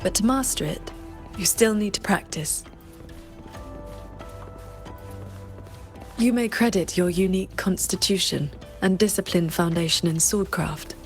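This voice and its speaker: A woman playing a stoic, formal swordswoman and stern mentor in a video game, speaking in a measured, restrained way. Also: on the low side, faint music behind, natural voice. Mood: solemn. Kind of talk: video game character dialogue.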